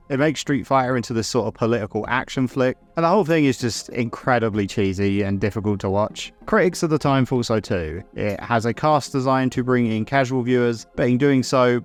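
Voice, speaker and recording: A man narrating a scripted video essay in a conversational, opinionated way, with casual asides. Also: mid-range voice. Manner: conversational, opinionated, mildly sweary.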